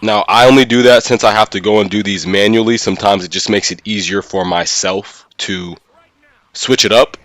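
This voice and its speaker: A man's voice: lowish voice, steady tone, normal speed, fairly bright tone, dry recording. A man hosting a gaming livestream, talking casually and informally with slang and frequent check-ins to his listeners. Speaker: streamer and host of a gaming channel.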